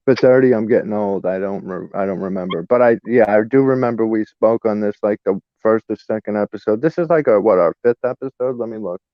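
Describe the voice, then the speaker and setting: A man in his forties co-hosting a stream, relaxed and joking in casual chat. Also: lowish voice, brisk, hardly any pauses, no room sound, highs cut off. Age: 40s. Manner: relaxed, joking, with many fillers. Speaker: stream co-host.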